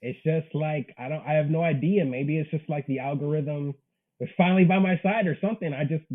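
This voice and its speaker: A man in his twenties with a slightly high voice, heard through narrow, phone-like audio. He speaks casually and earnestly as an interview guest, using everyday slang. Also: fairly quick. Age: 20s. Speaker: interview guest, manga creator.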